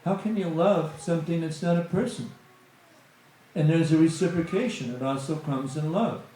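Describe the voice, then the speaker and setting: A middle-aged man speaks to a temple congregation in a loose, conversational way, dropping frequent "you know" fillers. His voice sits a little high for a man. It rings around a very echoey hall. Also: normal speed, dark tone. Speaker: guest speaker at a temple satsang. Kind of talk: spiritual talk to a congregation.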